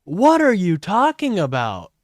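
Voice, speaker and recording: Blunt, profane man with a lively voice. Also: unhurried, no echo, clean studio sound. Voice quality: says his voice is high-pitched. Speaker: livestreamer reacting to a clip. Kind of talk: casual political commentary.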